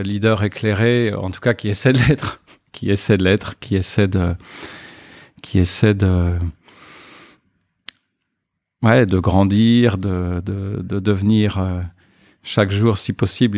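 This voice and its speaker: A man's voice: lowish voice, fairly even intonation, a little slow, darkish tone, no room sound. A man in his early fifties from Paris, speaking as a guest in a podcast interview, with many fillers and restarts. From Paris, France. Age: 50s.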